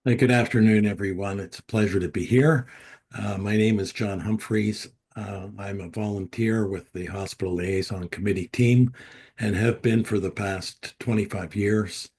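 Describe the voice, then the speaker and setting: A man's voice: on the low side, steady tone, normal speed, darkish tone, slightly rough. A man in his sixties, born in Canada, a volunteer liaison, giving a friendly self-introduction. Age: 60s.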